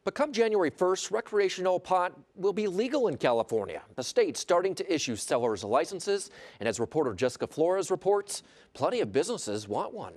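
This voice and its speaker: A man's voice: high voice, lively intonation, brisk. A man with an American accent reading scripted news as a television anchor.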